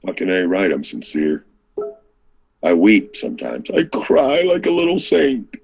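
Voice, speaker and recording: A man's voice: low-pitched, huge pitch swings, unhurried, creaky, faint music behind. A man bantering in an online group chat, sweary and playful, theatrically repeating his phrases for effect. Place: online video hangout.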